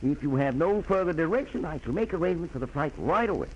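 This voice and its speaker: An actor playing a proper, deferential company lawyer, formal and obliging, in a 1940s radio drama with dull, noisy sound. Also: male voice, a little high, lively intonation, fast, darkish tone, lightly acted. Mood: deferential, businesslike. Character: deferential, proper male legal advisor to a firm.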